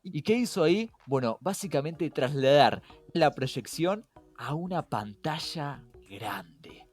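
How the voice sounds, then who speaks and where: An Argentine man with a Rioplatense accent explains things in a conversational podcast style. His voice is fairly high and very animated in its melody, and he likes to pose a question before answering it. Soft music plays underneath. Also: slow. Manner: rhetorical questions to co-host and listener. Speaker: podcast co-host.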